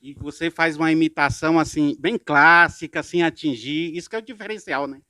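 A man's voice: on the high side, brisk, dry recording, studio quality. A middle-aged Brazilian man reporting and interviewing outdoors in a residential street, holding a handheld mic. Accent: Brazilian Portuguese. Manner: energetic presenter, prompting questions.

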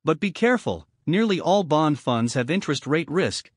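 Male reader for scripted exam samples; fairly high voice, measured delivery, very dry recording. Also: very animated, dry recording. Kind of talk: scripted read-aloud.

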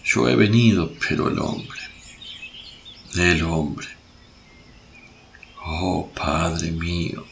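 Man acting compassionate male preacher, solemn, low-pitched, measured, religious radio play, faint birdsong noise. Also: gravelly, a bit bright, heavily acted. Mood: sorrowful.

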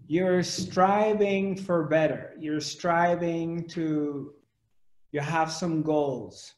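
A man in his forties speaks slowly to a webcam in a room at home, asking question after question with broad hand gestures. His voice sits a little high. The room adds a noticeable echo. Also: darkish tone. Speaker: spiritual teacher. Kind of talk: spiritual discourse to camera. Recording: echoey room.

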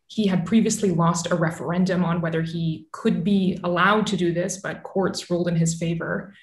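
A woman in her thirties explaining politics as an expert guest on a video call, articulate and measured. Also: lowish voice. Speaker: newsletter author and analyst guest. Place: small home room with bookshelf, indoors. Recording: roomy, echoing video call audio.

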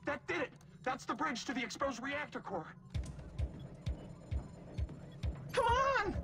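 An excitable, nerdy male engineer for a video game. His voice is very high and lively, with a jittery, hesitant manner. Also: moderate pace, over music, full character voice. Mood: frantic. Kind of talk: video game character acting.

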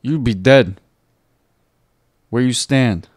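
A man playing a video game on a let's play, reading a character's dialogue aloud in a relaxed, jokey way. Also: medium pitch, slow, dry recording, studio quality. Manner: laid-back, jokey, reads lines aloud. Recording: faint game music behind.

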